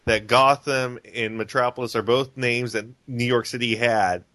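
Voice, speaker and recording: A man's voice: medium pitch, steady tone, normal speed, fairly bright tone, dry recording. A man hosting a casual podcast chat, talking in a rambling way with lots of fillers and restarts. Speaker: podcast host. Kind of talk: casual chat about animated films.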